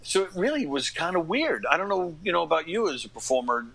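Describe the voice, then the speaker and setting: Bright-voiced middle-aged New man telling stories. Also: on the high side, quick pace, rough recording. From New York area, USA. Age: late 40s to 50s. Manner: animated retelling with acted-out quotes and frequent throat-clearing. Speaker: podcast guest, actor and stand-up comic. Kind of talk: casual anecdotal storytelling.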